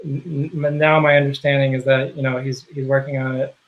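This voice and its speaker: A man being interviewed on a video call, talking casually and haltingly with many fillers and restarts. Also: medium pitch, level tone, phone-quality sound. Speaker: guest, software project contributor.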